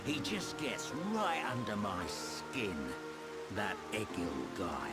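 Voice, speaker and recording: An actor playing a gruff, roguish older British soldier in a video game, blunt and slangy with a wry manner. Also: male voice, fairly low pitch, sing-song, takes it slowly. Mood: casual, probing. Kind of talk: video game cutscene acting. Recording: loud orchestral game music behind.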